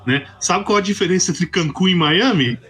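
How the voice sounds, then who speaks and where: High, lively wisecracking co-host. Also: male voice, normal speed, breathy edge. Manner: wisecracking, jokes. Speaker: podcast co-host. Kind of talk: casual podcast chat.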